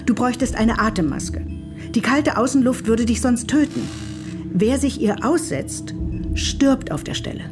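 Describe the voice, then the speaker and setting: An actress in a German radio play playing a detached, irritable mother and lecturer, speaking in a measured, formal, didactic way. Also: fairly high pitch, animated, music in the background. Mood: cool, disapproving. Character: Vashti, detached, irritable mother and lecturer in machine-run future.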